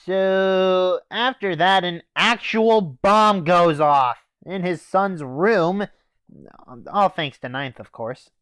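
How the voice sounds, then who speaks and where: A woman's voice: lowish voice, takes it slowly, fairly bright tone, clear, resonant tone, dry recording. A young woman delivers a casual, comedic review. She takes her time, stumbling and hesitating as she goes, with a dry manner. The recording has very little room sound. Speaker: anime reviewer.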